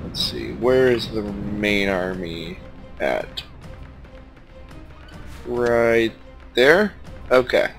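A stuffed-up, nasal man chats through his strategy game in a laid-back, thinking-aloud way. His voice sits fairly low, and soft game music plays faintly behind him. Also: expressive, extremely slow, long pauses. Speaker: let's play commentator. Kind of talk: casual gameplay commentary.

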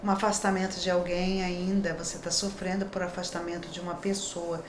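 A woman's voice: lowish voice, flat delivery, fairly quick, reverberant, noisy background. A middle-aged woman from Brazil giving a daily horoscope tarot reading in a chatty, reassuring way, often checking in with tag questions. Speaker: tarot reader. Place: small decorated room indoors.

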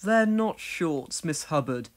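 A friendly village postman chats cheerfully, voiced by a woman reading a storybook. He sounds easygoing and good-humoured, with a low voice. His speech stays lively and bouncy. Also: slow.

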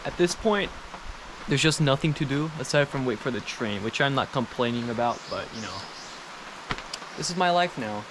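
Young male travel vlogger, casual rambling delivery, medium pitch, self-deprecating. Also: expressive, normal speed, background noise. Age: young adult. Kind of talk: casual chat to camera. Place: outdoors at a rural mountain train station in rain.

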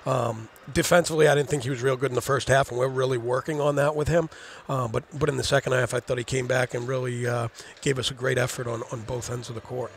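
A man's voice: mid-range voice, steady tone, fast talker, gravelly, slight background noise. A middle-aged man, an assistant basketball coach, giving a rambling postgame interview on a headset mic in a studio set, often repeating words as he thinks. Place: studio set in a gym, indoors.

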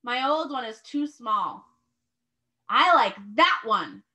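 An eager little storybook girl voiced by a woman pleads and insists with excitable emphasis. The voice is high, bright and swoops around a lot. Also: slow pace, full character voice. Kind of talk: children's storybook read-aloud.

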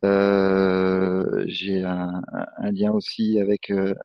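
A young man presenting in a webinar from his office, halting and full of fillers and restarts. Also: deep voice, completely flat delivery, dry recording. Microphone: video call. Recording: muffled video call audio.

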